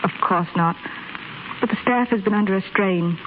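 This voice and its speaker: An actress playing a firm, crisp head nurse in 1930s radio drama style. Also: female voice, mid-range voice, normal speed, lightly acted. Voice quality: crisp, no-nonsense. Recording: tinny, faintly hissy 1930s radio broadcast.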